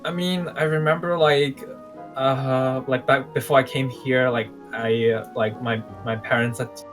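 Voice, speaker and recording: A man playing an unsure young time-traveling mage in a game roleplay, talking in a halting, rambling way. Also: medium pitch, steady tone, a bit bright, soft background music, close to an everyday voice. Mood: hesitant, unsure. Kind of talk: improvised video game roleplay.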